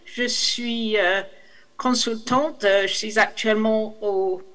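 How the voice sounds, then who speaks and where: A woman's voice: fairly high pitch, fairly even intonation, slow pace, poor audio. A woman with an English accent presenting in French as a consultant, hesitant with many fillers and restarts, on a video call. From England. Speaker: international consultant. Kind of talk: online conference presentation.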